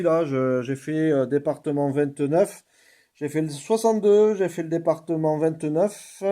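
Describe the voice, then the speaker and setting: A man with a soft, dark-toned voice pitched slightly high. He talks as an amateur radio operator in a casual contact. He often repeats himself and closes phrases with small tag words.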